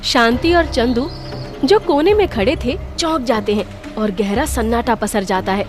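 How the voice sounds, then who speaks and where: A woman's voice: a little high, unhurried. A woman reading scripted dramatic narration for a cartoon story. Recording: music under the voice.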